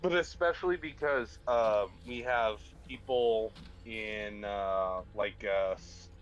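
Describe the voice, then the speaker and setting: A man's voice: fairly low pitch, slow pace, highs cut off, a little hiss or hum. A man from the southern United States with an American accent, rambling in casual chat with many fillers.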